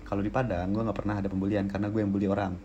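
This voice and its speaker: A young man chats on a podcast, relaxed and slangy, stopping and restarting as he tells his stories. His voice sits a little low and sounds dark and mellow, with faint noise underneath. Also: rapid-fire, highs cut off. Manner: laid-back storytelling, frequent restarts and laughs. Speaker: podcast co-host. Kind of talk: casual slangy chat.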